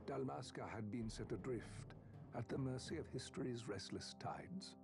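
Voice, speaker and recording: A man playing a grave, formal chronicler, narrating a fantasy game's history in measured, ornate phrases. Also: medium pitch, gravelly, natural voice. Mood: solemn. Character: grave, formal male chronicler recounting a kingdom's fall. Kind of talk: scripted narration of a fantasy game cutscene. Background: orchestral game music.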